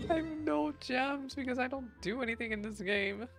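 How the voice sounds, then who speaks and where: A woman gives casual running reactions while she plays a game. She is informal and chatty, breaking into exclamations as she goes. Clear game music plays behind her voice. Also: sits high, a little slow. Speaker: streamer playing a game. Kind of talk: casual gameplay reaction commentary.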